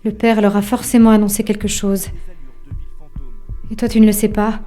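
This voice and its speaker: A woman's voice: medium pitch, flat delivery, normal speed, mellow, faint music behind, close to an everyday voice. A woman performing as an intimate storyteller, narrating an audio drama in a literary second-person style. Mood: anxious, hushed. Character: intimate storyteller addressing an anxious housewife.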